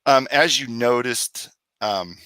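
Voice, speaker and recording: A man presenting on student billing at an online session, a little hoarse from a cold, explaining in a relaxed way with many fillers. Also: mid-range voice, takes it slowly, dry recording. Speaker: accounts receivable staff presenter. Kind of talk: webinar presentation.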